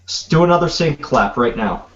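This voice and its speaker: A young man co-hosting a casual podcast. His voice sits at an ordinary middle pitch. He delivers dry, deadpan remarks in loose, easygoing banter. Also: moderate pace, highs cut off, slight background noise. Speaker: podcast co-host.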